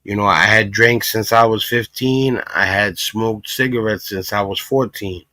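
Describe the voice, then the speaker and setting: A man's voice: on the low side, fairly even intonation, unhurried, sharp tone, dry recording. A man from Puerto Rico hosting a casual reaction livestream, talking emphatically with frequent asides. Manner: emphatic, talks in repeated asides. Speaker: livestream commentary host.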